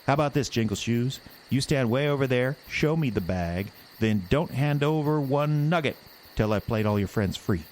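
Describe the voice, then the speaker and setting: Man playing a sly, gruff old-time hobo. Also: mid-range voice, animated, normal speed, darkish tone, slight background noise, close to an everyday voice. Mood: wheedling, bargaining. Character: gruff, sly, greedy hobo living among lake islands. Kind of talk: audio drama acting.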